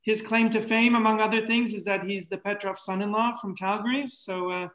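A man's voice: sits high, steady tone, a little fast, a bit bright, echoey room. A man hosting an online event on a video call, formally thanking people and making announcements. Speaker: event host. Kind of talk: closing announcements.

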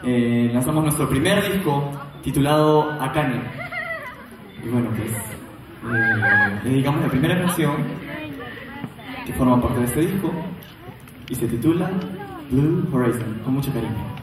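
A man's voice: medium pitch, expressive, unhurried, pauses often, heavy background noise. A young man with a Peruvian Spanish accent, a singer introducing his act from an indoor concert stage with a handheld mic, upbeat and cheering the crowd. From Peru. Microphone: handheld mic on stage, filmed from the audience. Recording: echoey hall from far off with crowd.

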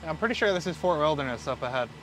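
A man's voice: a little high, lively intonation, very fast, a bit bright, rough recording. A man chatting casually as a vlog companion out on a boat, easygoing and joking as he points out sights. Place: outdoors on a boat on a lake. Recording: outdoor recording with motor hum.

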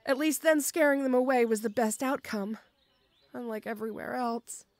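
A young woman playing a shy, gentle tomboy orc schoolgirl in an audio roleplay, with a hesitant, stammering, bashful manner. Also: fairly high pitch, moderate pace, dry recording, lightly acted. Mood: wistful.

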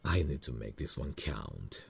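Romantic ASMR lead: a man playing a nervous, lovestruck male boss; gravelly, expressive swings in pitch, intimate and self-conscious. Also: a little slow, full character voice. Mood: nervous, musing. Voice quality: very deep, gravelly, husky. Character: smitten, nervous male boss on a work trip. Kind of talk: romantic ASMR roleplay acting.

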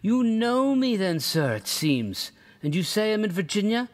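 A man voicing a bewildered, indignant lost male traveler in a storybook reading, with a high, strained, protesting voice. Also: big pitch swings, normal speed, big-room sound. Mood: outraged.

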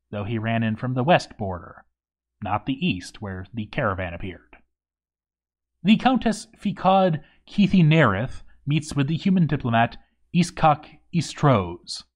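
A man's voice: fairly low pitch, animated, moderate pace, dull audio. Wry male narrator, sarcastic asides. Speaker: narrator of a game story read-through. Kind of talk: scripted narration, reading a game log in character.

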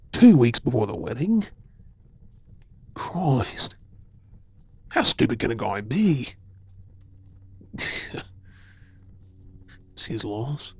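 An Australian man playing a kind, reassuring rescuer in an intimate ASMR role-play, speaking softly and soothingly. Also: mid-range voice, very animated, dry recording, full character voice. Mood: warm, teasing. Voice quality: soft, breathy. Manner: gentle, soothing, with pauses. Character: kind, reassuring male rescuer tending an injured woman.

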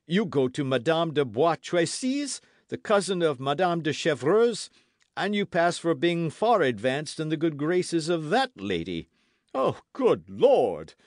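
A man voicing a boastful, loud musketeer in an audiobook, theatrical and full of exclamations. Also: a little high, dry recording, studio quality, voice pushed up. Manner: theatrical, exclamatory, swooping emphasis. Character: boisterous, boastful swaggering musketeer, loud and self-satisfied.

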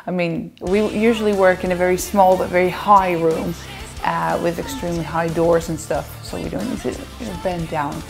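A woman's voice: fairly low pitch, flat delivery, moderate pace, vocal fry, music in the background. A woman in her thirties with a Dutch accent, chatting playfully to camera from a sofa as she answers fan questions. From Netherlands. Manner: chatty, playful, laughs often. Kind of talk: casual chat to camera. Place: indoor living room, seated on a sofa.

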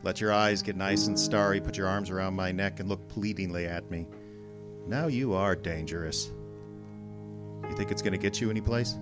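An actor playing a cynical, wisecracking tough private detective in a radio drama, wry and clipped. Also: male voice, sits low, fairly even intonation, normal speed, over music, natural voice. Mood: sardonic. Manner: hard-boiled, wry, clipped. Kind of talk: old-time radio drama acting.

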